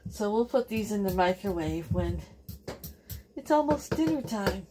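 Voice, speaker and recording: Slow rambling Canadian woman in kitchen. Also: medium pitch, over loud music. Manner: relaxed, rambling, talks to viewer while cooking. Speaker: home cooking vlogger. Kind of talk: chatty kitchen vlog narration. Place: home kitchen. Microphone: camera on counter.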